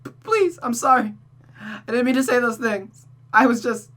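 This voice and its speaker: Rosa, a shrill, desperate sobbing girl. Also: animated, normal speed, a bit bright. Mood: pleading, sobbing. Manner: pleading, stammering, overwrought. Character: Rosa, sobbing desperate young woman devoted to cruel Mother. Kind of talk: visual novel character reading.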